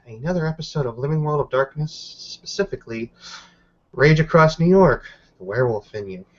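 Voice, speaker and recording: A man hosting and narrating a tabletop roleplaying game as game master, in a measured, formal storytelling manner. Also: fairly high pitch, animated. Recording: slightly dull lo-fi mic.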